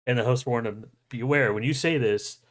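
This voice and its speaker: A man's voice: mid-range voice, moderate pace. A man hosting a livestream with casual movie news chat, joking and swearing freely. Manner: jokey, profane. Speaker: show host.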